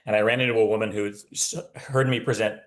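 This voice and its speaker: A man's voice: on the low side, fairly even intonation, fast. A man, an author guesting on a podcast, giving advice conversationally in emphatic short phrases.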